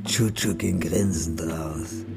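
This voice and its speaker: A detached crime narrator with a low, creaky voice. He delivers scripted radio drama narration over loud music. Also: fairly even intonation, takes it slowly, heavily acted. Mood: detached, narrating.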